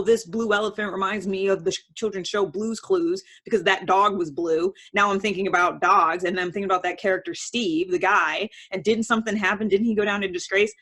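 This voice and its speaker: A woman's voice: mid-range voice, a bit bright. A woman hosting a show, explaining ideas in a casual monologue, thinking aloud in informal language. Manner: informal, self-referential, thinking aloud with examples. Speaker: host of a personality-typing show.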